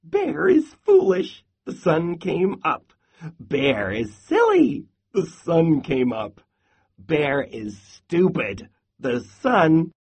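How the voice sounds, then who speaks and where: High, chirpy little squirrel. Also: male voice, wildly swooping pitch, takes it slowly, a little mellow, husky, heavily acted. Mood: teasing, sing-song. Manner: chirping, piping, sing-song. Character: small chirpy young male squirrel, gleeful and teasing.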